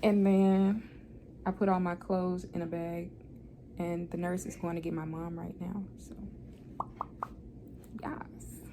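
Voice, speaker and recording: A young woman vlogs from a hospital room, talking straight into her phone camera. She chats in a loose, candid way and laughs easily, and the room's noise is clearly heard behind her. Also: fairly low pitch, level tone, takes it slowly, pauses often. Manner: chatty, candid, laughs often. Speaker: vlogger. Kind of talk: casual hospital vlog.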